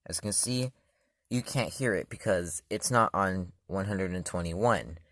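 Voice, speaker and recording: A man's voice: sits low, unhurried, fairly bright tone, no room sound. A man casually demoing a product, talking loosely with many fillers and self-corrections. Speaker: product reviewer. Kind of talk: casual hands-on demo.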